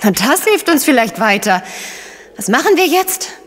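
An actress playing a sharp, sardonic female investigator, questioning and pointed, in a crime radio play. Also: high voice, sing-song, moderate pace, big-room sound. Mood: probing.

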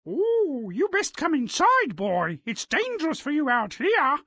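A woman's voice: high voice, huge pitch swings, measured pace, fairly bright tone, dry recording, studio quality, exaggerated voice. A woman voicing a motherly, wary giant's wife in a fairy-tale video game, fussy and coaxing. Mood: cautioning. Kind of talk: video game character acting.

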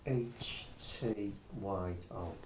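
A middle-aged man answering briefly as a patient, recorded quietly from across a small consulting room. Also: sits low, drawn-out words. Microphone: camera across the room. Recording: quiet, distant voice with room noise.

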